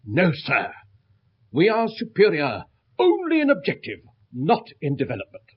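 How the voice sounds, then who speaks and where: An actor playing an eccentric, earnest professor of folklore with an English accent, lecturing emphatically in a 1970s British radio drama. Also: male voice, fairly high pitch, wildly swooping pitch, normal speed, husky, exaggerated voice. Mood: declaiming. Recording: dull, band-limited old radio recording.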